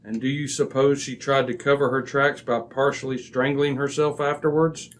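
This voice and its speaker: An actor as a brilliant, impatient male detective in a radio mystery. His voice is mid-pitched and authoritative. He lays out his reasoning crisply, like a man used to being obeyed. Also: little pitch movement, normal speed, natural voice. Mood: assured, deductive. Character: commanding, razor-sharp, impatient male detective. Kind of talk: old-time radio drama acting.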